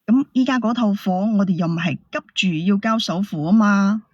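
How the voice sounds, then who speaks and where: A woman's voice: moderate pace, a little mellow, no echo. A woman calling a show, defending herself in a hesitant, evasive way. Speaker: caller. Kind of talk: casual, defensive argument. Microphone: call into show. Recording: dry, dull low-fidelity call audio.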